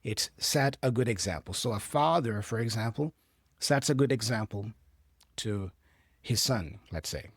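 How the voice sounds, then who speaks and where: A man's voice: lowish voice, lively intonation, normal speed, breathy edge, no echo, clean studio sound. Brazilian man explaining English phrases. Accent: Brazilian Portuguese. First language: Portuguese. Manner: patient, explanatory, checks in with "right?". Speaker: English teacher. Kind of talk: explaining a clip for learners.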